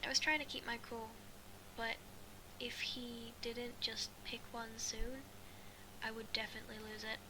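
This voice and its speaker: High-voiced woman reading aloud, noisy recording. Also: level tone. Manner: halting, stumbling and rereading phrases. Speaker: amateur fan fiction reader. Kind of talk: reading a first-person story aloud.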